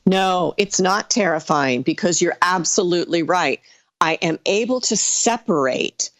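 A middle-aged woman, a psychic guest in an interview, recounting her experiences in an earnest, deliberate way. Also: on the low side, expressive, a bit bright, dry recording. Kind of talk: conversational storytelling interview.

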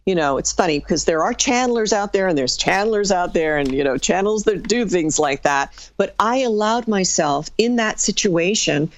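Low-voiced woman telling her story. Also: dry recording. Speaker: psychic medium, guest. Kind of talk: conversational storytelling interview.

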